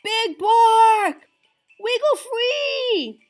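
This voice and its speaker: A woman voices Excitable little prairie dogs from a storybook. They exclaim in shrill, very high voices that swoop wildly up and down. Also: extremely slow, faint music behind, heavily acted. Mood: panicked.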